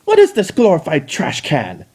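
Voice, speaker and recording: A man's voice: mid-range voice, huge pitch swings, a little fast, vocal fry, darkish tone, slightly rough, heavily acted. A man voicing a shrieking, imperious female changeling queen in a fan reading. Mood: screeching. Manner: screeching, imperious.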